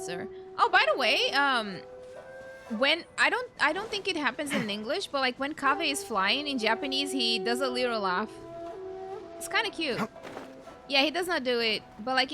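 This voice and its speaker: A woman streamer giving casual, chatty, reactive commentary while playing a game. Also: sits high. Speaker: gaming streamer. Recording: game music clearly behind the voice.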